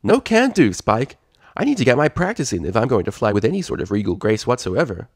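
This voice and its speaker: A man's voice: medium pitch, sing-song, a little fast, darkish tone, breathy edge, dry recording, studio quality. A man voicing a bubbly, eager young female pony princess in a storybook reading. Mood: eager, relieved.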